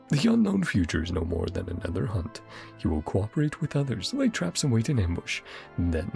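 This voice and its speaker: A man's voice: mid-range voice, gravelly, a little mellow. A man streaming a game, commenting casually and reading game text aloud. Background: faint game music.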